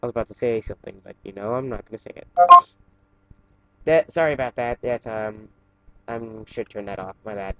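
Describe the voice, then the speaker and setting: A man casually reading fan fiction aloud in a halting way, stumbling and correcting himself, with chatty asides. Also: on the low side, gravelly, a little mellow, dull audio.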